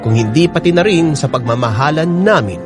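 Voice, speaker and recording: A man's voice: on the high side, animated, brisk. Man narrating dramatically over music. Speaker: narrator reading a letter sender's story. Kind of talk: scripted dramatic first-person narration. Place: radio studio. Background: background music.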